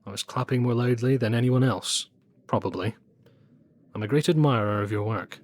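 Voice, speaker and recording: A man playing a Nazi official in an audio drama, formal and insinuating, with quiet menace under his courtesy. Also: medium pitch, moderate pace, dry recording, lightly acted. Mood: flattering, insinuating.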